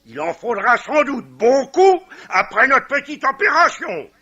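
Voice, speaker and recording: An actor playing a gruff, middle-aged Irish clan chief, commanding and sarcastic, in 1950s French radio drama style. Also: male voice, extremely high pitch, big pitch swings, measured pace, background noise, heavily acted. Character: gruff, bossy Irish clan patriarch, hot-headed and scornful. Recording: dull, band-limited old radio recording.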